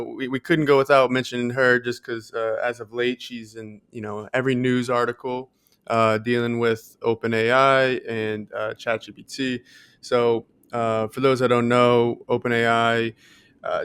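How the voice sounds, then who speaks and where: Smooth, even-toned man in casual chat. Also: medium pitch, dry recording. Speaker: podcast co-host.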